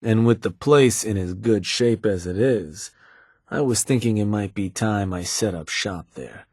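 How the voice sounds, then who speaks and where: A gruff raider fixer from a game, speaking in a low, husky voice with a relaxed, businesslike drawl. The recording is dry and close. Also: male voice, measured pace, dry recording. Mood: laid-back. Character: gruff middle-aged male raider fixer, calm schemer. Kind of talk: game voice lines.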